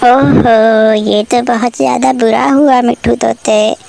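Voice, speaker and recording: A woman voicing a kind, gentle female sparrow in a cartoon who speaks reassuringly. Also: fairly high pitch, fairly even intonation, slow pace, creaky, a little hiss or hum, voice pushed up.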